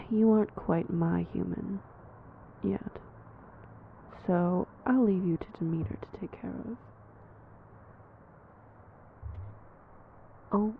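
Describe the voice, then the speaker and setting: A woman playing a snide, predatory vampire in an audio roleplay, mocking and teasing, with a breathy voice that slips into whispers. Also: on the low side, phone-quality sound, slight background noise. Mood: cruel, teasing. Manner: mocking, teasing, theatrically menacing. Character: snide, predatory female vampire toying with prey. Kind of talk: audio roleplay acting addressed to the listener.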